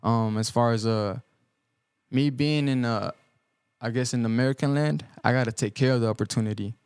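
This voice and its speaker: A young man born in El Salvador and raised in the US Midwest, a guest musician giving earnest, halting answers on a podcast, full of ums and restarts. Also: medium pitch, darkish tone, clear, resonant tone, no echo, clean studio sound. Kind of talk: reflective podcast interview answers. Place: podcast studio.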